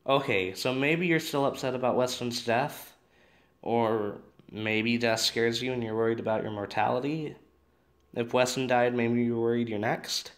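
A man plays a direct teenage boy in an audio drama, blunt but caring. His voice is mid-pitched and conversational. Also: steady tone, moderate pace, natural voice. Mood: easygoing.

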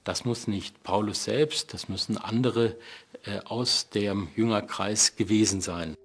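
A man explaining as an expert in a documentary interview, with measured, academic phrasing in short segments. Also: fairly low pitch, steady tone.

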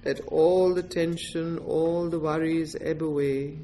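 A woman guides a meditation at a very slow pace, in a somewhat low voice for a woman. She gives gentle instructions in short, deliberate phrases, leaving space between them. There is a faint wash of background noise. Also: fairly even intonation. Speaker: meditation teacher.

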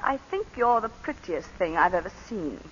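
An actress playing a composed planter's wife recounting a shooting, in 1940s radio acting. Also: female voice, fairly low pitch, very animated, normal speed, a little hiss or hum. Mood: measured. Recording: muffled 1940s radio broadcast.

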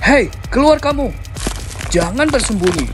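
A woman voicing a cautious, protective boy in an animated cartoon. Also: on the high side, a little slow, heavy background noise. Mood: defiant. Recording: music behind the voice.

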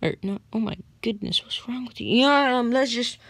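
A woman voicing a bossy, scornful male squad leader in improvised comedic game lines, scolding haltingly and repeating herself. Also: on the high side, a bit bright, no room sound. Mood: haughty, exasperated. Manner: haughty, halting, repetitive scolding.

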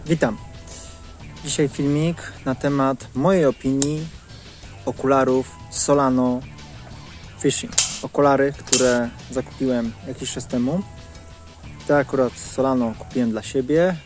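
A man's voice: medium pitch, steady tone, unhurried, darkish tone, soft background music. A man in his thirties giving a casual product review to camera, recorded outdoors on a lapel mic. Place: outdoors in leafy woodland. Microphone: clip-on lapel mic.

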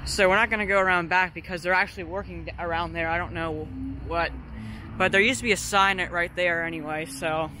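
Hesitant man vlogging outside, high voice. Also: fairly even intonation, a little fast. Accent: Pennsylvania. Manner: hesitant, many ums and uhs, hedging. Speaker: vlogger exploring closed stores. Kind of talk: casual walking commentary. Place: outdoors in a parking lot. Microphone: handheld camera or phone. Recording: outdoor street noise behind. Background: traffic and outdoor noise.

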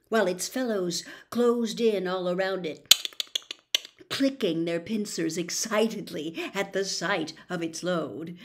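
A woman in her sixties reading a story aloud in a bedroom, with deliberate, suspenseful, theatrical narration. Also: mid-range voice. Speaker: storybook reader. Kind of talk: dramatic audiobook-style narration. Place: small bedroom, indoors.

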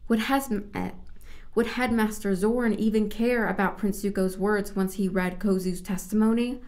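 A woman's voice: medium pitch, steady tone, moderate pace, darkish tone. A woman working as an amateur narrator, reading story takes and asking casual questions during a session. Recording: clearly noisy recording with clicks.

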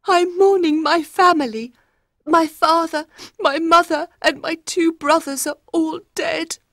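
A man's voice: very high voice, a little slow, fairly bright tone, no room sound, clean studio sound, voice pushed up, exaggerated voice. A man voicing a scheming, lovesick young queen in a storybook, with a pleading, dramatic delivery. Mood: mournful. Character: scheming young queen, mournful, devoted to her secret lover. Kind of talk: storybook character voice.

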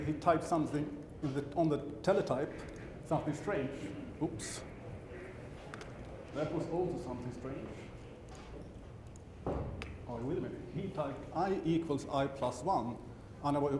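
Swedish man giving a halting tech talk. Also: on the high side. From Sweden. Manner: halting, self-correcting, dry asides. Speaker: conference speaker. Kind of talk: technical conference talk. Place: large conference hall. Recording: roomy hall sound with background hum.

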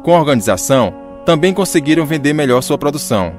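A man's voice: a little high, animated, fast talker, faint music behind. A man with a Brazilian accent reading scripted narration for a radio drama.

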